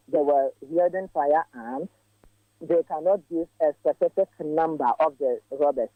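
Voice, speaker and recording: A male field reporter, giving a live news update by phone in a measured way with frequent filler pauses. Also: fairly high pitch, dry recording. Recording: tinny dry phone line.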